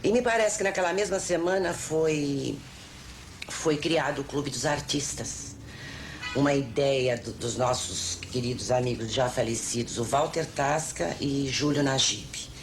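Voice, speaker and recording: Nostalgic older Brazilian woman, low-voiced. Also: measured pace. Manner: nostalgic, effusive about colleagues. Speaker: veteran television performer. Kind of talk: nostalgic recollection in a documentary interview. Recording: old TV recording with faint noise.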